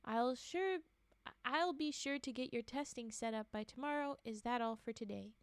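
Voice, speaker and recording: A woman's voice: fairly high pitch, moderate pace, clean tone, dry recording, close to an everyday voice. A woman reading as a smug, cheery male doctor boss. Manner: smug, falsely polite.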